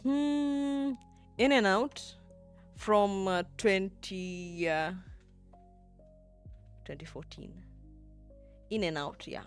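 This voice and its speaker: Unhurried woman streamer thinking aloud. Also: medium pitch, faint music behind. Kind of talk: livestream, talking to chat while editing.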